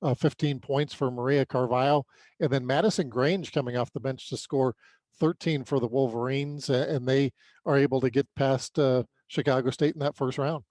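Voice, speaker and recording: American man recapping sports, halting delivery. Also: medium pitch, fairly even intonation, a little mellow, no room sound. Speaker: sports podcast co-host. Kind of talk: unscripted sports recap commentary.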